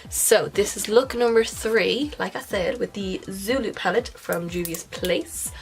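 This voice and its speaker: A young woman, nasal and congested, chatting casually through a makeup tutorial, now and then dropping into a soft whisper. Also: medium pitch, unhurried, creaky, faint music behind. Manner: chatty, self-correcting, breaks into soft whispered asides. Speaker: makeup vlogger. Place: indoors at home, filming a close-up makeup tutorial.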